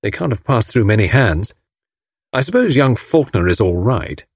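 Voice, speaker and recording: A man voicing a composed young gentleman who speaks dryly and wryly. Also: lowish voice, big pitch swings, moderate pace, slightly rough, dry recording, heavily acted. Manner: dry, wry. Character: dry young Englishman, wry and composed.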